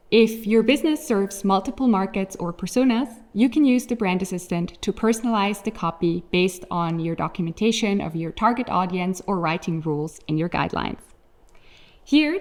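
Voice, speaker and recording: Polished woman presenting a product keynote. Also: medium pitch. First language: German.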